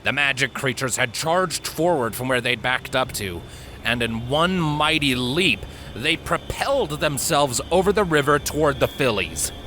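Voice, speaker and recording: A man reading a story as an audiobook narrator, with dramatic, suspenseful and deliberate phrasing. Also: medium pitch, animated, sharp tone, a little hiss or hum, poor audio.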